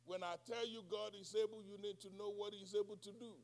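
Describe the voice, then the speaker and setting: A male pastor preaching a sermon, speaking English as a second language, who swings between soft, quiet passages and shouted, call-and-response preaching. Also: high voice, steady tone, fast talker. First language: not English.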